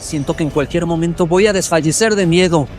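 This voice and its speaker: A man's voice: on the high side, fairly quick, a little mellow, faint music behind, voice pushed up, close to an everyday voice. A man voicing an anxious, pious night watchman of colonial times in a horror radio drama. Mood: frightened.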